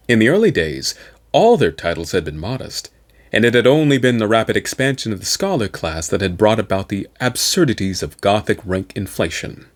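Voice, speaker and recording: Guy reading sci-fi audiobook, dramatic n deliberate, kinda low voice, pitch all over the place. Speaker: amateur audiobook narrator. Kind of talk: dramatic scripted narration of science fiction.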